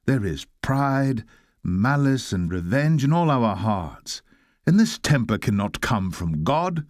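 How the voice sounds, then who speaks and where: A man's voice: mid-range voice, big pitch swings, slow, no room sound, clean studio sound. A man reading an old sermon aloud in a solemn, oratorical style, exhorting listeners with weighty rhetorical delivery. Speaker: reader of a historic sermon.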